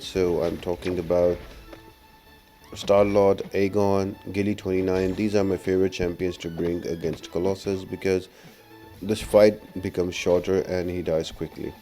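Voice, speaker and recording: A man's voice: low-pitched, steady tone, measured pace, warm tone. A man giving a casual, instructional game walkthrough as a voice-over. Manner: explanatory, instructional. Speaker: gaming guide video narrator. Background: faint music.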